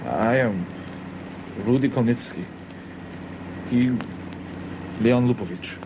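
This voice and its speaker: A dull, faintly noisy old radio drama recording of an immigrant killer. He confesses very slowly in halting, broken English with a foreign European accent. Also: medium pitch. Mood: flat, unhappy. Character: young immigrant man wearily confessing to murder.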